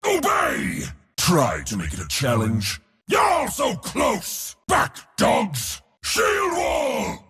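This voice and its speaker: A man playing an arrogant, boisterous war god in a video game, speaking in a bombastic, boastful way with a gravelly, raspy voice. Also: very high-pitched, wildly swooping pitch, very slow, pushed and bright, raised voice, long reverb tail, exaggerated voice. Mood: boastful roaring. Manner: bombastic, boastful, theatrical delivery. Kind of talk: video game hero voice lines.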